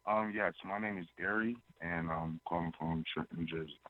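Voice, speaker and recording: A hesitant man in his twenties speaks as a caller seeking advice. His voice sits a little low and comes in halting phrases. It is heard through a thin, narrow phone line. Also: steady tone, slight background noise. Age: 20s.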